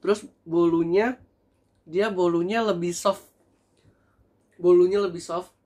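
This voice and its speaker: A young man in a casual food review vlog, talking in a chatty, animated way to his viewers while seated at a table in a small room. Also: sits high, slow. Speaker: food vlogger.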